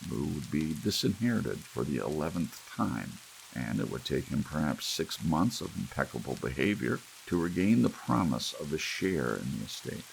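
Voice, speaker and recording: A man narrating an audiobook in a hushed ASMR bedtime style. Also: very deep voice, normal speed, vocal fry, low-quality recording. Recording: steady rain and hiss behind. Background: soft rain.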